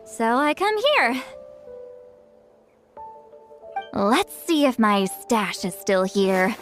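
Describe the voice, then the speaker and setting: A high, youthful shaman woman from an anime game. She is aloof yet awkward and easily flustered, with very animated intonation and unhurried, hesitant delivery. Faint music sits behind her. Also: full character voice. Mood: relaxed, pleased.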